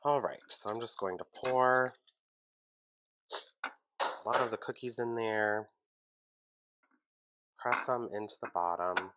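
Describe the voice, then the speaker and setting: A man hosting a casual home baking tutorial, chatty and relaxed, with a congested, sniffly, nasal voice from a cold. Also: lowish voice, slow pace, pauses often, heavy background noise. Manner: chatty, relaxed, self-deprecating asides.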